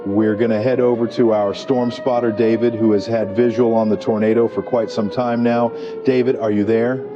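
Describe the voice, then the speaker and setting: A man playing a weather anchor, measured and serious, delivering emergency tornado coverage. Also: mid-range voice, little pitch movement, close to an everyday voice. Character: male TV meteorologist anchoring live tornado coverage. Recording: dull lo-fi audio with music.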